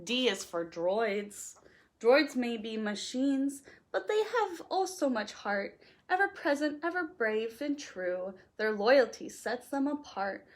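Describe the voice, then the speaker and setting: Very animated, high-voiced woman reading aloud. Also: slow. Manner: expressive, playful, sing-song delivery. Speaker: storytime reader. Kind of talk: animated read-aloud of a rhyming children's picture book.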